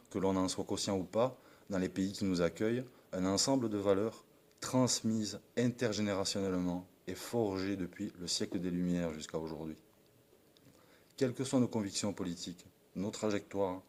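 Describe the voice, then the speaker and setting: A man's voice: sits low, a little mellow. A man from France reading a formal, earnest political message of support, with a declamatory tone and the odd slip of the tongue. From France (Perpignan). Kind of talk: read political address.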